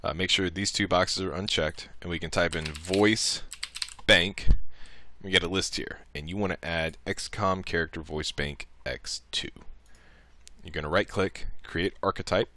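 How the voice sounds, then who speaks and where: A man narrating a software tutorial casually, thinking aloud informally as he works. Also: deep voice, fairly even intonation, unhurried.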